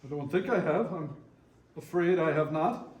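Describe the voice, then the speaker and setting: Humbled old miser, male actor, high-pitched, earnest and meek, staged reading in a roomy space. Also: animated, normal speed, lightly acted. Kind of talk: readers theater performance. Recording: echoey room, distant mic.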